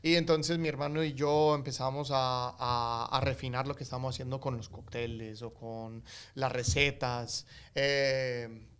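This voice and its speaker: A middle-aged man with a Colombian accent, telling his life story as a guest in a relaxed interview, full of fillers and restarts. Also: mid-range voice, measured pace, hardly any pauses, clear, resonant tone. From United States, Colombian family. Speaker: guest, actor and coffee entrepreneur. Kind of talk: casual interview storytelling in Spanish.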